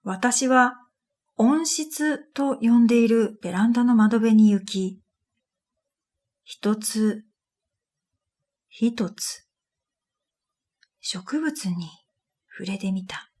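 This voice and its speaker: A woman plays a weary, introspective dream reader narrating a radio drama. Her voice is breathy and fairly high, and she speaks slowly and quietly, with music behind her. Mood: pensive, calm. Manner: quiet, measured, pensive, trailing into breathy phrase ends. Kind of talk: scripted first-person radio drama narration.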